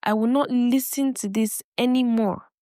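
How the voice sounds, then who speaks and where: A woman's voice: a little high, steady tone, normal speed, dark tone, no room sound, clean studio sound, pitched above the performer's own voice, close to an everyday voice. A woman voicing a trusting, stubborn bride in love, speaking earnestly and emphatically. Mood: indignant, defensive.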